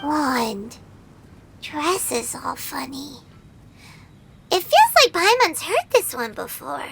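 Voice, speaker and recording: A tiny bossy girl sidekick, squeaky, very high, bouncy lilt, slow, game voice. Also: big pitch swings, vocal fry, noisy background, full character voice. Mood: suspicious. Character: tiny floating girl companion, chirpy and bossy. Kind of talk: game voice acting.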